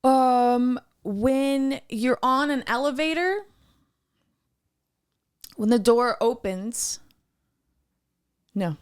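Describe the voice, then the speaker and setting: A woman co-hosting a podcast, expressive and profane, laughing often in casual chat. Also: on the high side, drawn-out words, long pauses, clear, resonant tone. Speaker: podcast co-host.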